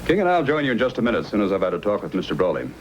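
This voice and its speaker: Commanding Yukon Mountie, lowish and animated, vintage radio serial with background noise. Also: male voice, racing. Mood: concerned, helpful. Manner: commanding, questioning, firm. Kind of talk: old-time radio acting. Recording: noisy old radio drama recording.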